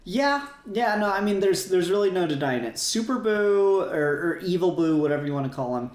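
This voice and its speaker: A man's voice: sits high, expressive, a little fast. Rambling man, echoey room. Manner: rambling, enthusiastic, swears casually. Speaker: podcast host. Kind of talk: casual fan discussion.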